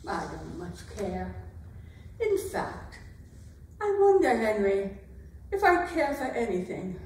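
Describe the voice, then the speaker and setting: A woman playing a weary, wounded, sharp-tongued medieval queen in a dramatic staged reading. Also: mid-range voice, big pitch swings, slow, a little hiss or hum. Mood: weary, bitter. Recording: very echoey room, distant microphone.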